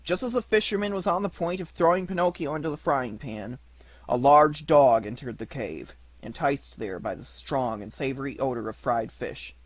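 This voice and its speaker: A man's voice: mid-range voice, normal speed, no room sound. A man reading a children's story aloud as a scripted audiobook narration.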